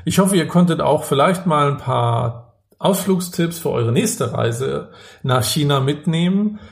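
A man's voice: on the high side, expressive, measured pace, vocal fry. A man co-hosting a casual podcast, with a dry, teasing manner. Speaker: podcast co-host.

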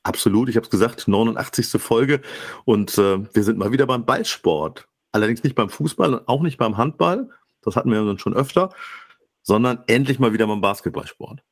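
A man's voice: medium pitch, animated, moderate pace, no echo, studio quality. A man hosting a sports podcast, a little stuffy-nosed, with friendly formal introductions. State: stuffy nose. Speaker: podcast host. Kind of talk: casual podcast talk. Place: home studio, remote call.

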